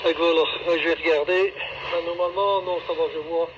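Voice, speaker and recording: A fairly high-voiced man talks over a two-way radio in a casual, rambling way. The transmission is dull and clearly noisy, and he often hesitates as he goes. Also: fairly even intonation, brisk. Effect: walkie-talkie radio. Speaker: amateur radio operator.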